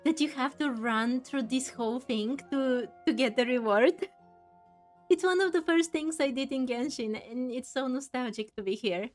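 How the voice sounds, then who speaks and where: Girl streamer chatting thru a game, highish voice, thinking out loud, soft game music behind. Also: moderate pace. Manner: chatty, musing aloud. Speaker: gaming streamer. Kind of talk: casual game playthrough commentary. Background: faint game music.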